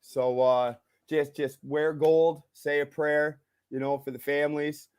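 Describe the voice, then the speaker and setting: A man co-hosting a livestream, joking around and talking loosely with pauses and fillers. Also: a little high, little pitch movement, a little mellow, clear, resonant tone, no echo, clean studio sound. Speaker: co-host of a car audio livestream. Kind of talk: casual livestream chat.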